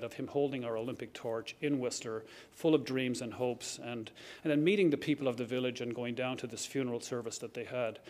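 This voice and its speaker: A middle-aged man with an Irish accent giving a heartfelt acceptance speech, earnest and reflective, with frequent restarts. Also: mid-range voice. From Ireland. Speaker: award recipient giving a speech. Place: banquet hall at a lectern.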